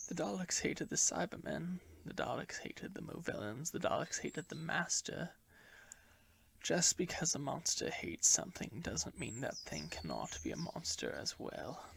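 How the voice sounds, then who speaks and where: A man playing a dying, guilt-ridden time traveller in a fan audio drama, speaking in a brooding, theatrical, halting way. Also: fairly high pitch, vocal fry, a bit bright, a little hiss or hum, heavily acted. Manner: brooding, theatrical, halting delivery dropping into whispers. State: weak, in pain, dying.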